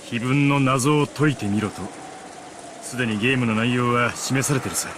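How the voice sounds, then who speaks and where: Quick, rough-spoken, shrewd man played by an actor. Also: mid-range voice, noisy background, lightly acted. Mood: cool, musing. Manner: rough, casual masculine speech. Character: rough-spoken, shrewd middle-aged man, cool and casual. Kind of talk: Japanese visual novel voice acting.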